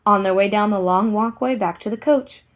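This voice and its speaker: A woman narrating a children's fairy tale in an expressive, deliberate storybook manner. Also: mid-range voice.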